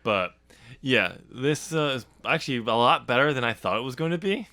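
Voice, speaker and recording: A man's voice: mid-range voice, lively intonation, moderate pace, sharp tone. A man hosting a podcast, casually talking through his own writing in a hesitant, explanatory way. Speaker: podcast host and author. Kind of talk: casual discussion of his novel draft.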